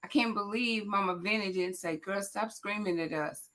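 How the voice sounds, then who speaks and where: Raspy, hoarse woman host. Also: medium pitch, normal speed. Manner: chatty, loud, talks straight to the audience. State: hoarse, voice cracking. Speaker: host of a morning motivational livestream. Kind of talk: casual motivational chat to viewers.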